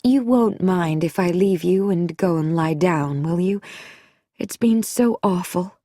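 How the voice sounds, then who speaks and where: A woman voicing a fragile young widow who speaks in a soft, weary way. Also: lowish voice, measured pace, no echo, clean studio sound.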